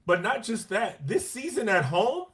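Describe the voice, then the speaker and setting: A man co-hosting a podcast in casual sports chat, animated and slangy, repeating his points for emphasis. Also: sits high, unhurried. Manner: animated, quotes players in their own voices. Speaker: podcast co-host.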